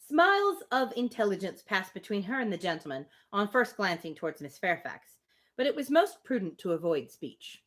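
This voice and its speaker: A woman reads a novel aloud for a live stream. Her mid-pitched voice moves in lively swings, and she keeps an informal, expressive manner between the text and her own asides. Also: normal speed. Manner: expressive, informal, breaks off to react. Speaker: reader hosting a livestream reading.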